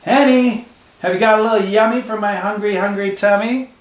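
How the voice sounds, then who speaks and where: A man doing a greedy little tomten, high singsong. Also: steady tone, brisk, echoey room, close to an everyday voice, rough recording. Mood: wheedling singsong. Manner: singsong wheedling demands, later shouting threats. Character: hungry greedy little male tomten, grumpy.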